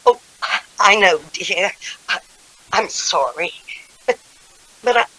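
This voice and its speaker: A woman playing a doting, tearful older mother in a radio drama, speaking tenderly and haltingly. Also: mid-range voice, lively intonation, fairly bright tone, poor audio. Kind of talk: 1940s radio drama acting.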